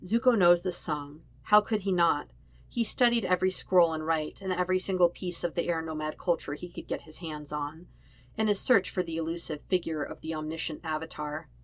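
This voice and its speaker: A woman narrates a story in a fairly low voice. The sound is dry, with hardly any room around her, and the delivery is that of scripted audiobook-style reading. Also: brisk, no echo. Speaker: podfic reader of fan fiction.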